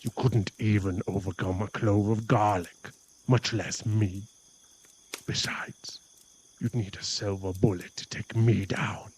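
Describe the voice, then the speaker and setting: A man's voice: lowish voice, fairly even intonation, unhurried, darkish tone, a little hiss or hum, natural voice. A man voicing a cocky, possessive male werewolf bully in an ASMR boyfriend roleplay, teasing and taunting in a smug drawl. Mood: taunting menace.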